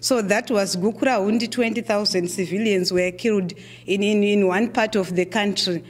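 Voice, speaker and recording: A middle-aged woman with a Zimbabwean accent gives a keynote address at a conference. She talks in a measured, deliberate way, often pausing on "uh" and repeating words as she restarts. Her voice sits at an ordinary pitch for a woman. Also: fairly even intonation. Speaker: keynote speaker.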